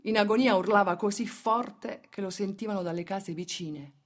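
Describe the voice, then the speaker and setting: A woman's voice: mid-range voice, moderate pace. A woman giving a dramatic radio theatre recitation as an actress-narrator. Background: soft guitar music.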